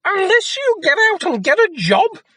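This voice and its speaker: A man voicing an angry, exasperated mother in a storybook, shouting scolding insults in a shrill screech. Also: wildly swooping pitch, normal speed, dry recording, clean studio sound, full character voice.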